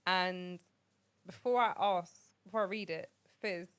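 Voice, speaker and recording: A young woman runs a relaxed podcast conversation. She reads items off a list, chats, and laughs easily with her guests. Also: fairly low pitch, measured pace, smooth. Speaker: podcast host. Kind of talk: casual chat.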